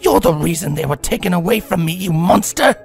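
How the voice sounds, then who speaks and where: A man voicing a high-strung, dramatic young female unicorn who talks in a shrill, theatrical voice. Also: sing-song, fairly quick, vocal fry, soft background music, heavily acted.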